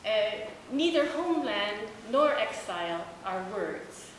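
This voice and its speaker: Female book editor, deliberate public-talk delivery, medium pitch, heavy room echo with clear background noise. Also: sing-song. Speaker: book editor speaking at a launch. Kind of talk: prepared public talk, literary introduction. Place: echoey indoor room at a public event.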